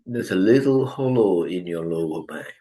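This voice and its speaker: A man leading a guided breathing meditation, giving calm instructions with long pauses. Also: low-pitched. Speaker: meditation teacher.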